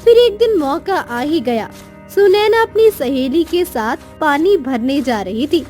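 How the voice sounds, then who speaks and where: A woman with a very high voice reads a scripted story. Her narration is slow and lively in pitch, over faint music.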